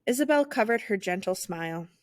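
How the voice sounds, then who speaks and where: A woman narrating a children's picture book aloud in an expressive, theatrical storytelling style. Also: mid-range voice, a little fast, dry recording.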